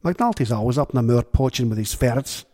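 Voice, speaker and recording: A man voicing a smug old Irish villager with a husky, gravelly voice in a storybook. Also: mid-range voice, lively intonation, fast, mellow, long reverb tail, natural voice. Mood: gruff.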